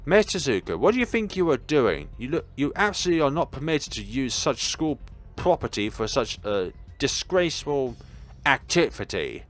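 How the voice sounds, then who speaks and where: A man plays an indignant young woman from a visual novel student council. The voice is pitched well up and loud, scolding in formal, outraged phrases over music. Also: sing-song, moderate pace, close to an everyday voice.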